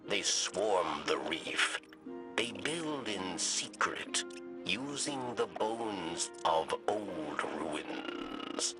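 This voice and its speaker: Slow, deep, wily old alien. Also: male voice, very animated, over music, full character voice. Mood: grave. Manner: halting, third-person self-reference, formal. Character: wily old alien scribe, insectlike, formal and plaintive. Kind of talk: video game character dialogue.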